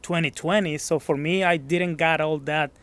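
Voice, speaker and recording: A Mexican-accented man with a slightly higher voice talks in a relaxed gym interview. He is modest and searches for words in his second language. His sentences restart often. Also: normal speed, smooth, no echo. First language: Spanish. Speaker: gym member being interviewed. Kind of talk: casual interview.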